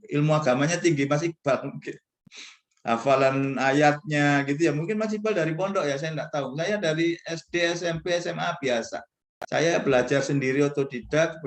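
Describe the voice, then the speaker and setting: A man gives an informal religious lecture to students as a professor, in a conversational, rambling, self-deprecating way. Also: on the high side. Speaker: university professor speaking as guest mentor. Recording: echoey, roomy sound.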